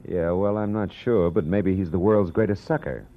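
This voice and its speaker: A man's voice: deep voice, normal speed. A man playing a laconic, wisecracking private detective who narrates in a 1940s radio drama. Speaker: hardboiled private eye narrator. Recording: dull old radio recording with music.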